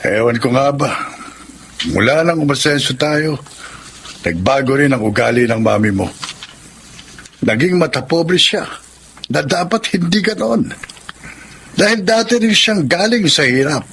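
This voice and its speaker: An actor playing a weary, reasonable middle-aged Filipino father in a radio drama, measured and resigned. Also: fairly high pitch, animated, fairly bright tone, slight background noise.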